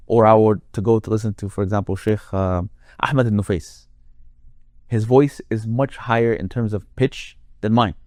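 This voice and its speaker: Deep-voiced man, dry close sound. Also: darkish tone, no echo. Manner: explains with long worked examples. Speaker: Quran reciter and guest. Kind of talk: conversational interview.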